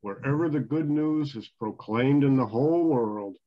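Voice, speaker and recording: Older man voicing solemn teacher, scripture reading, measured delivery, muffled video-call audio. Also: medium pitch, natural voice. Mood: firm, solemn.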